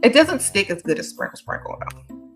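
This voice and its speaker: A woman's voice: mid-range voice, huge pitch swings, moderate pace, vocal fry, faint music behind. A woman giving blunt, emphatic advice, leaning on repeated catchphrases.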